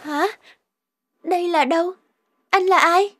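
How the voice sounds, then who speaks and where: A woman voicing a young, anxious river goddess who protects her waters, speaking earnestly in an animated fairy-tale dub. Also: extremely high pitch, slow, full character voice. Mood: confused, frightened. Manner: earnest, dramatic self-talk.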